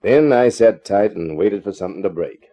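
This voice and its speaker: An actor playing a cool, laconic private eye in a 1950s radio crime drama. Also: male voice, fairly low pitch, lively intonation, normal speed, background noise. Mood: wry narration. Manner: laconic, unflappable. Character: cool, wisecracking hardboiled American private eye. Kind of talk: 1950s radio crime drama, first-person narration and dialogue. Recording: dull old radio recording.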